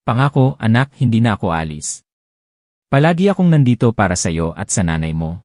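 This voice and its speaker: A gentle, devoted rich father and husband , as a male narrator puts him on, speaking low and tender with a mellow, dark warmth. His reassurances come softly and earnestly in a dry, close recording, the voice of a storybook hero at peace. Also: very animated, a little fast, no room sound.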